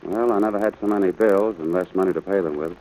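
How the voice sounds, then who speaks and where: An actor playing a stern, worn-out rancher father, gruff but caring, in 1940s radio drama style. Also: deep voice, quick pace, a little mellow, lightly acted. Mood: weary, gruff. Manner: gruff, exasperated. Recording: noisy old radio broadcast.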